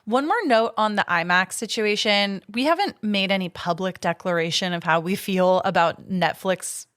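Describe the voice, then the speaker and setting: A young woman co-hosting a horror podcast in a relaxed, chatty way. She laughs easily and drops casual curse words. Her voice sits at a middle pitch, recorded close and dry. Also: normal speed, dry recording. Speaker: podcast co-host. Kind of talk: casual chat.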